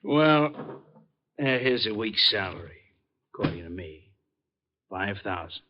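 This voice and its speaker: A man playing a smooth, condescending and coolly threatening racketeer boss in a 1950s radio crime drama. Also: on the low side, expressive, unhurried, background noise. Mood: coolly probing. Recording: muffled vintage radio recording.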